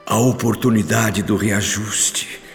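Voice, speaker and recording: A man's voice: fairly low pitch, steady tone, vocal fry. An actor playing an anguished, repentant middle-aged father with a Brazilian accent, in a solemn, pleading radio drama monologue. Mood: pleading, remorseful. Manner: slow, solemn, pleading delivery. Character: anguished repentant father, guilt-ridden former brute. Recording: long reverb with music behind. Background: music.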